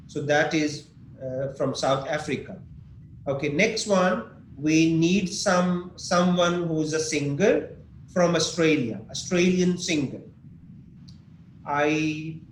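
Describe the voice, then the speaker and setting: A man teaching an online English lesson, explaining patiently with examples and questions for his students. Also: a little high. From Pakistan. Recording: echoey room with faint noise.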